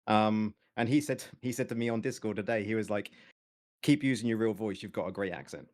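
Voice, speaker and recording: A British man joins a livestream as a guest, talking quickly in a somewhat low voice. He sounds upbeat and agreeable, chatting casually. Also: a little mellow. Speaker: guest content creator.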